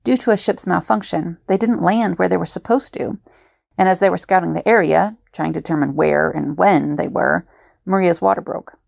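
A woman's voice: on the low side, moderate pace, gravelly, darkish tone, no echo, clean studio sound. A woman playing a matter-of-fact fugitive recounting an adventure in an audio drama.

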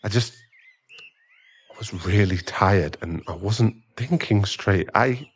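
A man's voice: fairly low pitch, slow pace, breathy edge. A man playing a remorseful sugar-daddy boyfriend in an ASMR audio roleplay, hesitant and stammering as he earnestly pleads for forgiveness. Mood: pleading, regretful.

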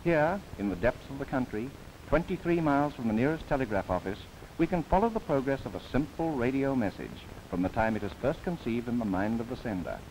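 A man's voice: medium pitch, animated, brisk, a little mellow, poor audio. A man narrating a 1930s government documentary film, with playful asides. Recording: old 1939 film soundtrack with faint hiss.

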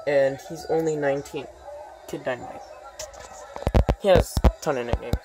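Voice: medium pitch, normal speed, over loud music, low-quality recording. A vlogger casually narrating a tour from behind a handheld phone in a room full of posters, listing items with many ums and uhs. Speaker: vlogger giving a home tour.

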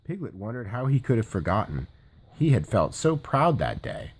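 A man reading a children's storybook aloud as an expressive storyteller. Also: medium pitch, moderate pace, background noise.

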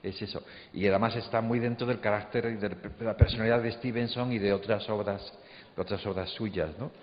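A man's voice: on the low side, steady tone, brisk. A man on a panel, giving conversational commentary on literature. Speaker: panel speaker. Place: stage of a large auditorium. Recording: muffled, echoing auditorium sound.

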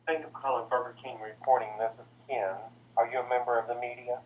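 A man's voice: medium pitch, little pitch movement, quick pace. A man working as a phone operator, speaking formally in customer service style over a telephone line. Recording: dull phone audio with faint noise.

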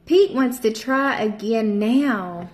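A woman in her thirties reads a children's picture book aloud at a slow, patient pace. Her voice swoops up and down with playful character voices. She is recorded in an echoey room by a camera sitting at table distance. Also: fairly high pitch, animated. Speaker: teacher.

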